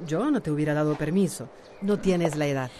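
Actress as a bold low-voiced woman hairdresser. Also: fairly quick, warm tone, a little hiss or hum, close to an everyday voice. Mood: friendly, persuasive. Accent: Latin American Spanish. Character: bold, independent adult woman hairdresser, friendly and reassuring. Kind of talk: radio soap opera acting.

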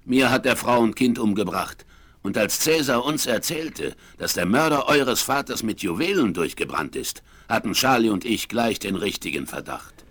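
Lively, blunt frontier trapper. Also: male voice, on the low side, moderate pace. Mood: grim, certain. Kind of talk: 1970s children's western radio play acting.

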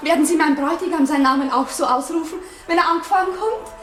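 An actress playing a pious, innocent young bride-to-be with fervent rapture in a 1960s radio drama. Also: female voice, high voice, quick pace, natural voice. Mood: overexcited. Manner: fervent, solemn, exclamatory to hushed. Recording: 1960s radio recording, faint hiss.

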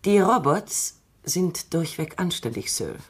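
A low husky robot psychologist, dry and precise, radio play. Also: female voice, lively intonation, slow, exaggerated voice. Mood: cool. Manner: dry, precise and coolly logical. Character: cool, sharp-witted female robot psychologist. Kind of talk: German radio play acting.

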